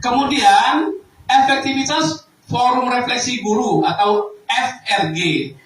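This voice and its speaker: A man giving a formal presentation to fellow teachers, speaking deliberately and emphatically. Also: extremely high pitch, fairly bright tone. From Indonesia. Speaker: school educator presenting an improvement project to teachers. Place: echoey room, presenting live to staff. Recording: echoey room, distant microphone.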